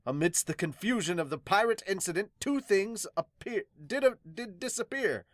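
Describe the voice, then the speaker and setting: A woman's voice: mid-range voice, animated, moderate pace, no room sound, studio quality, lightly acted. A woman voicing a haughty male magistrate with stern, pompous, archaic speech in a manga dub. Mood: stern, scornful.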